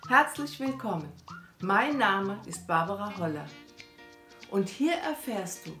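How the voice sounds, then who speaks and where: A woman's voice: mid-range voice, expressive, a little slow, bright, forceful tone, reverberant, soft background music. A woman hosting a podcast, introducing and interviewing a guest in a conversational way.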